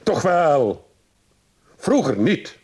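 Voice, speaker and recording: A man's voice: on the high side, big pitch swings, extremely slow, darkish tone, breathy edge, full character voice. An actor playing a frustrated husband in a radio drama, talking to his wife in a chiding, hesitant way. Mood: reproachful. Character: frustrated husband of a troubled wife, impatient, evasive.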